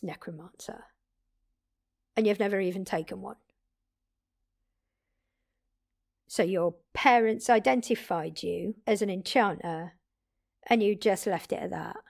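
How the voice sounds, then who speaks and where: A woman's voice: medium pitch, measured pace, vocal fry. A woman playing a blunt, wry immortal vampire mentor in a one-sided audio drama, conversational and teasing in her delivery. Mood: incredulous, exasperated.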